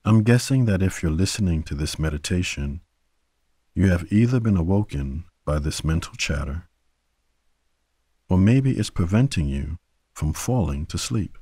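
A man with a very low, mellow voice guides a bedtime meditation. He speaks gently and soothingly, letting each phrase settle before the next. The sound is close and very dry. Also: expressive, breathy edge, dry recording. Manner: gentle, soothing, unhurried delivery with long pauses. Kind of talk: guided sleep meditation narration.

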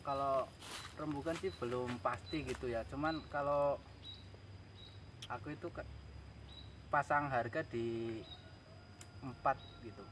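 A man's voice: mid-range voice, fairly even intonation, slow, long pauses, fairly bright tone. A young man in casual vlog chat, recorded outdoors on a camera a few metres away. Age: young adult. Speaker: vlogger selling his camera gear. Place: outdoors on grass by a rice field. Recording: noisy outdoor camera audio.

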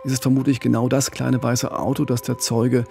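A man's voice: medium pitch, level tone, brisk, creaky, mellow. A middle-aged man co-hosting a true-crime podcast, telling a case conversationally into a close studio microphone. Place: small indoor podcast studio. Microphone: close studio condenser microphone with pop filter.

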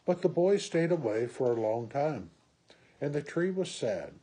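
A man in his sixties reading a children's picture book aloud at home with slow, deliberate, expressive phrasing. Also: a little high, slightly rough. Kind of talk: read-aloud children's story. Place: small room at home, indoors.

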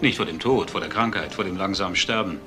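An actor playing a composed, articulate businessman in a German crime radio drama, speaking in a measured way. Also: low voice, sharp tone, faint music behind. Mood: sober, reflective.

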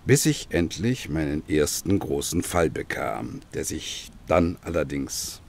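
John Douglas, deep-voiced grave ex-detective. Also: male voice, expressive, creaky, a little hiss or hum, heavily acted. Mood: composed, recounting. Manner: measured, dramatic storytelling. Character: John Douglas, grave former Pinkerton detective telling his past. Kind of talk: German radio play acting.

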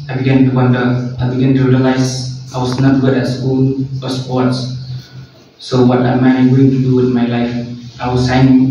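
A man, steadily reading a personal recovery testimony at a podium, with frequent throat clearing. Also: medium pitch, slight background noise. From India. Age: 20s. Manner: steady, reads slowly with small restarts, clears throat often. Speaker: recovering addict giving testimony. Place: indoor room at a podium. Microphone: far camera. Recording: echoey room from far, dull lo-fi.

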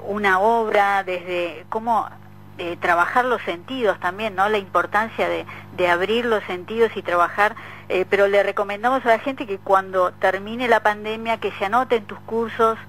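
A woman with a Rioplatense Argentine accent hosts a conversational radio interview. Her voice sits at a middle pitch, with faint noise in the recording. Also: moderate pace, fairly bright tone, poor audio. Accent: Rioplatense Argentine Spanish.

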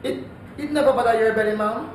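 An excitable Jamaican male household helper in a stage comedy, heard from far off in an echoey, noisy theatre. He is folksy, evasive and exclamatory, rambling along in a high voice. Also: fast talker. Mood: defensive, boastful. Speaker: stage actor. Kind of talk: broad comedic stage acting. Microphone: distant stage pickup.

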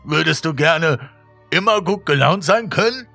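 A man voicing an eerily cheerful ragged stranger in a horror story, with a drawn-out, sugary delivery. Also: on the high side, animated, creaky, sharp tone, dry recording, faint music behind, pitched above the performer's own voice, heavily acted. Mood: beaming, enticing.

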